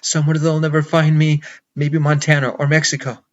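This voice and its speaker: A man voicing a desperate young man who shouts in a panic about fleeing. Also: a little high, monotone, a little fast, dull audio, pitched above the performer's own voice, lightly acted.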